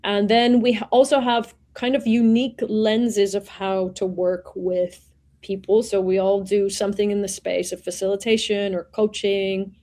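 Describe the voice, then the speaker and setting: A woman in her forties from Venezuela, talking as an interview guest in a reflective way, pausing and searching for words as she explains. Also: mid-range voice, level tone, warm tone. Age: 40s. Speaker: guest, executive coach. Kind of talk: conversational interview.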